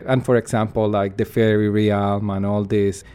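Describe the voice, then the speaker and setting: Casting: man, lowish voice, earnest and reflective, pauses to find words. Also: little pitch movement, dark tone, clear, resonant tone. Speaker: performance artist. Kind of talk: interview answers.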